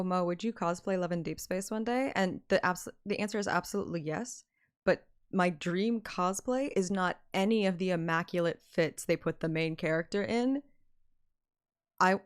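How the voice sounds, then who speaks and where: A woman streamer chatting casually during a game, giggly and rambling like an excited fan, with music behind. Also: mid-range voice.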